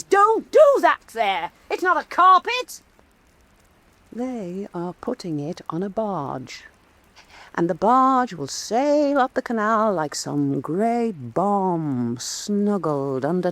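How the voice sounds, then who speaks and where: A woman's voice: fairly low pitch, a bit bright, no room sound, full character voice. An actress playing a proud, mercurial woman painter who talks in a grand, cutting and theatrical way. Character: imperious, mercurial woman painter who scorns her critics. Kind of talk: radio drama acting.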